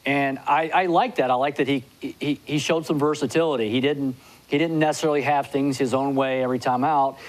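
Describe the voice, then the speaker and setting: Man, 40s, guest handicapper, middle pitch, quick bursts, many restarts, lapel mic, studio. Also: steady tone, poor audio. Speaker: guest horse racing handicapper. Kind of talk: studio interview. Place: TV studio with city skyline backdrop.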